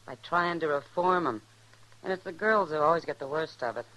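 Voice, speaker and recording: A woman's voice: low-pitched, a little fast, a bit bright, a little hiss or hum, poor audio. An actress playing a worldly, frank saloon woman with an American accent and a wry manner, in old-time radio western style. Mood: wry, disapproving.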